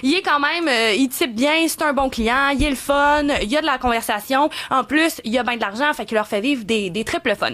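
A woman with a Québécois accent, a guest columnist telling a true crime story in casual, animated talk-show style. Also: high-pitched, brisk, hardly any pauses. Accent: Québécois French.

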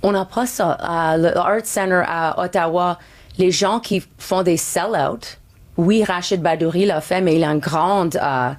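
Anglophone woman comedian in French, lowish voice. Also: measured pace. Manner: second-language French, mixes in English words, frequent restarts. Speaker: comedian guest. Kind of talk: casual interview chat.

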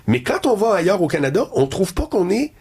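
A man with a Québécois accent hosting an interview, telling a story in a lively, conversational way. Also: high-pitched, brisk, creaky, husky. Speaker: interview host. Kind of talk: casual interview chat.

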